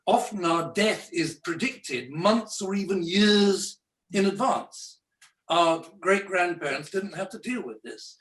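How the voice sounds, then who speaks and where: A man on a webinar panel, speaking in a measured, thoughtful way with frequent restarts, heard over a video call. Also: high voice, expressive, a bit bright, recorded from a distance. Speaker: conference panelist. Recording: echoey room, away from the mic.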